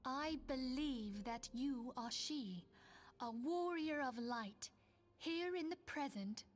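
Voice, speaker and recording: An actress playing an earnest, gracious woman leader, speaking formal fantasy game dialogue in a measured, solemn manner. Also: high-pitched, expressive. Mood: solemn, hopeful. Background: background music.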